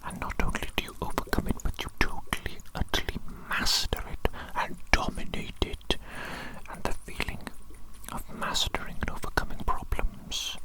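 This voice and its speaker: A performer voicing a doting, eccentric evil doctor in a breathy whispered ASMR roleplay, with fussy, repetitive, quaintly formal phrasing. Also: moderate pace, close to an everyday voice, poor audio. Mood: encouraging, grandiose. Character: doting eccentric evil doctor fussing over a tiny creature.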